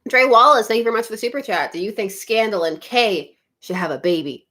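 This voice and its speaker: A woman from Canada hosting a relaxed livestream from her home studio. She chats freely with her viewers, breaks into frequent giggles and wanders from one topic to the next in an easygoing way. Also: mid-range voice, fast. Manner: giggly and chatty, laughs often, drifts off topic. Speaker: YouTube livestream host. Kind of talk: casual livestream chat reading viewer comments.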